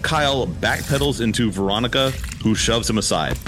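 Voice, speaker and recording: A man's voice: medium pitch, lively intonation, moderate pace, over music. A man hosting a podcast, chatting casually and narrating scripts. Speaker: podcast host.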